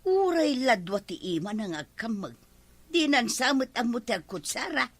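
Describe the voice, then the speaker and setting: A woman with an Ilocano accent playing an animated village woman in a comedy drama. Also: a little high, moderate pace, no room sound. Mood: curious, concerned. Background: music.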